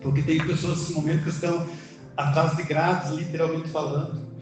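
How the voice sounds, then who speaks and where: A man's voice: a little high, flat delivery, moderate pace. A middle-aged man with a Brazilian accent preaching a conversational sermon, emphatically asking questions and answering them himself. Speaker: preacher, church elder. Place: indoor church service, music in the background. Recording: very echoey church hall, music behind.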